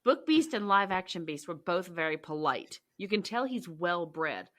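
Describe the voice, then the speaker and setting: Lively-pitched woman co-hosting. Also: moderate pace. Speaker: podcast co-host. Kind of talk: casual book discussion.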